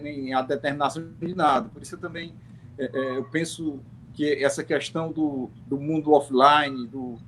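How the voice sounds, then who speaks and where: Male panel guest, slightly high voice, reflective and halting, noisy video-call sound. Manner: reflective, halting, repeats words as he thinks. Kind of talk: unscripted opinion talk on a live online panel. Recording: clearly noisy online call audio.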